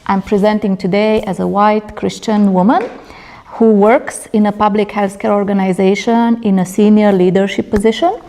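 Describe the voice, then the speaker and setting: A middle-aged woman from Romania speaks at a conference about her research. Her delivery is earnest, and she often stops on fillers or starts a sentence over. Her pitch sits in the middle of the usual range for a woman. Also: fairly even intonation, moderate pace, warm tone. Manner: earnest, with many fillers and restarts. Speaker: conference presenter, doctoral candidate. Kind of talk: academic conference talk. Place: conference room.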